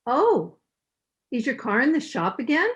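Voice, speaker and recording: A kindly, scatterbrained older landlady, acted by a woman in an old-time radio comedy. Her voice sits fairly high and moves in very lively swoops as she fusses in a motherly way. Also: unhurried. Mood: chatty.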